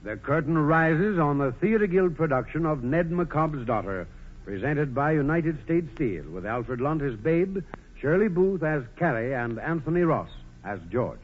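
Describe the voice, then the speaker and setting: A man's voice: on the high side, animated, measured pace, slight background noise. A man announcing a programme in formal, stately, declamatory 1940s radio style. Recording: tinny vintage radio, music behind.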